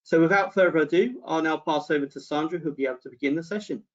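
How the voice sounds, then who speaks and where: A man's voice: on the high side, fast. A man hosting and moderating an online webinar in a polite, organised, fairly formal way. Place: online video call. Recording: dull online call audio.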